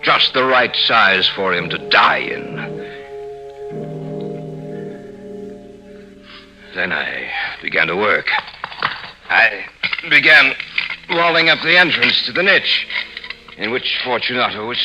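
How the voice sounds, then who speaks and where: An actor playing a vengeful nobleman who narrates his crime with deliberate, mock-solicitous menace in 1950s radio horror style. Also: fairly low pitch, expressive. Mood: gloating. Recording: echoey old radio drama, music behind.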